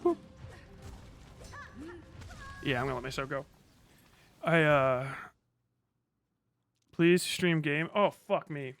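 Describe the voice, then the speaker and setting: Man, slightly high-pitched, clean smooth voice, casual streamer chat, slangy, profane, rambling. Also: takes it slowly, long pauses, fairly bright tone, soft background music. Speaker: live streamer. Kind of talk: casual livestream chat while playing a video game.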